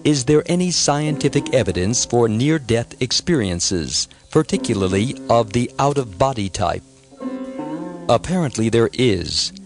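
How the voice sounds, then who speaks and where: Man narrating an audiobook over music. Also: mid-range voice.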